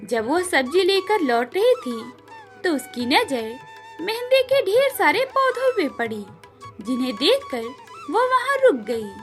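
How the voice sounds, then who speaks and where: A woman narrating a scripted cartoon moral story in an expressive storytelling manner. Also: extremely high pitch, measured pace, soft background music.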